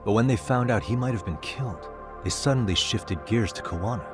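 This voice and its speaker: An actor plays a cool male detective in his thirties for a video game drama. His voice sits very deep and stays level-headed and matter-of-fact. Prominent music plays behind him. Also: a little fast, close to an everyday voice. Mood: pondering. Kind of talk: English dub video game drama acting.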